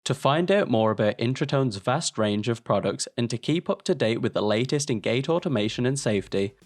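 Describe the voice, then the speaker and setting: A man with a medium-pitched voice narrates scripted promotional material. His delivery is polished and informative, like a product presenter. The sound is close and dry, with very little room around the voice. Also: animated, fairly quick, no echo.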